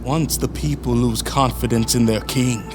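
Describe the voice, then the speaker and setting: A man acting a fiery, impatient political agitator in an audio drama, theatrical, forceful and sardonic. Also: mid-range voice, steady tone, normal speed, over music, lightly acted. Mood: determined.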